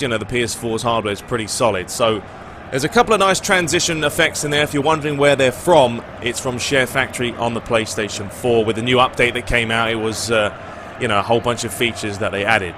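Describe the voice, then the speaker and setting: A man's voice: medium pitch, background noise. A man hosting a gaming video, chatting informally and giving his opinions as he answers questions in a casual commentary style.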